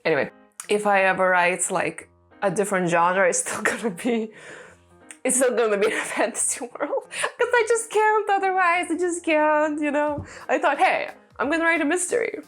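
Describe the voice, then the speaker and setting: A woman's voice: high-pitched, moderate pace, fairly bright tone, faint music behind. A young woman whose first language is Lithuanian, chatting casually and laughing often as she answers a run of tag questions, with lots of "you know" fillers. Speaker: vlogger, aspiring author. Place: room with a creaky chair. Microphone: desk mic.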